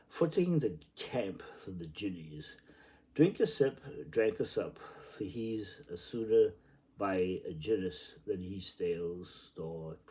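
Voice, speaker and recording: Animated low-voiced man reading aloud. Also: low-quality recording. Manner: playful, exclamatory delivery with sudden outbursts. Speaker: reader. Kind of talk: theatrical literary reading aloud.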